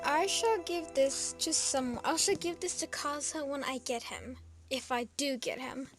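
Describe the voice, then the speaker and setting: A woman's voice: high-pitched, moderate pace. A woman giving casual gameplay commentary, talking to herself in exclamations, with game music behind her. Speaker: gaming video host. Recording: loud game music over voice.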